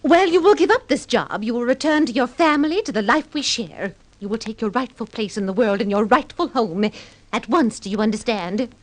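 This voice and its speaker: A haughty society woman from a vintage radio play. Her voice sits fairly high and swings dramatically up and down. She is imperious and snobbish, forever affronted. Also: expressive, a little fast. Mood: commanding outrage. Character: haughty, indignant society wife of a millionaire. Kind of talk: golden-age radio drama acting.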